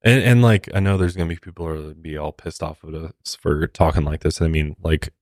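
Deep-voiced American man, casual podcast co-host. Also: fairly even intonation, a little fast, a little mellow, no room sound, studio quality. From United States.

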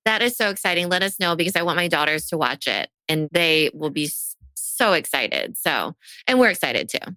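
A woman's voice: sits low, big pitch swings, normal speed, sharp tone, dry recording. A woman co-hosting a casual interview in an enthusiastic, encouraging way. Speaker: interview co-host. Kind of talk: casual chat.

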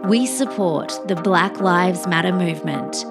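A woman reading a scripted show statement in a measured, declarative way. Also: fairly low pitch, vocal fry. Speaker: show announcer. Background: loud music.

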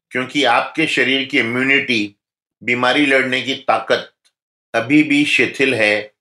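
A man speaking as a doctor, giving calm, instructive health advice in an interview. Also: medium pitch, reverberant.

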